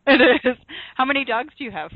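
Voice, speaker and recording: A woman's voice: high voice, lively intonation, brisk, dry recording. Friendly woman host on a tinny line. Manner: friendly, brief responses, laughs along. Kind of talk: interview hosting. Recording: dry tinny phone-like line.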